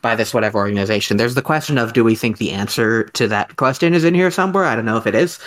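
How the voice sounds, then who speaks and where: A man hosting a podcast, talking in a casual mock-scholarly way, halting and rambling as he works ideas out. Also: medium pitch, fairly bright tone. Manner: halting, rambling, thinking aloud. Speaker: podcast host.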